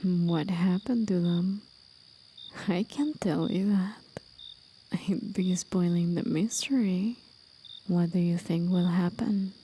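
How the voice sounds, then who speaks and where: A woman voicing a gentle, aged, mysterious demon of the forest in an intimate, soothing, breathy half-whisper for an ASMR roleplay. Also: on the low side, slow pace, a little hiss or hum. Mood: soft, coy.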